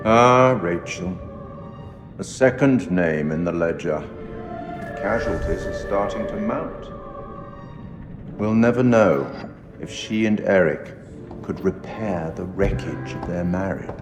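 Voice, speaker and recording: Creepy formal game curator man, low voice, really slow n wry, big echoey hall. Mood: grave, wry. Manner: measured, formal, wryly sardonic. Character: enigmatic, sardonic male curator judging the player's fatal choices. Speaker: narrator addressing the player. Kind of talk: theatrical scripted game narration. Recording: long hall reverb, music underneath. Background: music.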